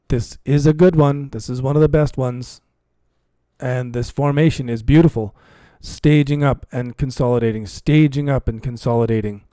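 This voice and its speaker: A man's voice: medium pitch, dark tone, dry recording. A man hosting a live stream, commenting casually and chatting with viewers, often repeating phrases for emphasis. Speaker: livestream host and cryptocurrency trading commentator.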